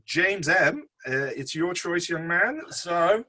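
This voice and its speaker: A man's voice: high-pitched, lively intonation, takes it slowly, pushed and bright. A man around forty hosting a casual film review show in a chatty, jokey, informal way. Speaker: podcast host and filmmaker.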